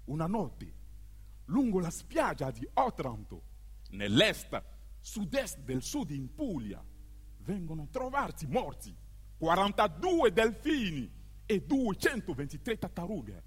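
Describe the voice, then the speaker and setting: A man narrating a theatrical monologue on stage, emphatic and deliberate, in short declaimed phrases. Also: sits high, huge pitch swings, husky. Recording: long hall reverb, live music behind. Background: live musical accompaniment.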